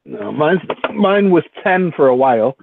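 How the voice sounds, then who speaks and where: A man's voice: a little high, big pitch swings, unhurried, vocal fry. British man chatting on a tinny line. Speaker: community chat participant. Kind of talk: casual group chat. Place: online voice chat. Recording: tinny phone-like line, faint noise, clicks.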